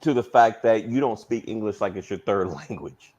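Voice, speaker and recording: A man's voice: lowish voice, lively intonation, moderate pace, darkish tone, highs cut off. A man from the US West Coast with an American accent, talking casually as a panelist in a group chat.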